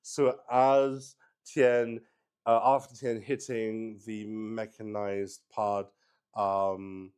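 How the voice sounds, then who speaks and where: Slow, halting man narrating a dice game. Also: lowish voice, level tone, darkish tone, clear, resonant tone. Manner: halting, thinking aloud. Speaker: solo tabletop roleplay player and narrator. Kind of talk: improvised game narration while rolling dice.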